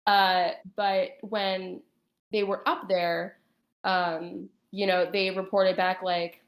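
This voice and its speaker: A woman's voice: mid-range voice, little pitch movement, slow pace. Woman chatting in an echoey room. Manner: hesitant, filler-heavy, says like often. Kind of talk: casual chat. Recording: roomy, echoing sound.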